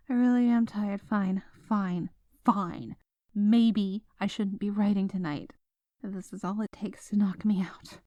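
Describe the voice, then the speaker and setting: A woman's voice: mid-range voice, no room sound, studio quality. A woman playing a stubborn, sleep-deprived writer girlfriend in an ASMR roleplay, rambling with dramatic, indignant exclamations. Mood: sleepy, giving in.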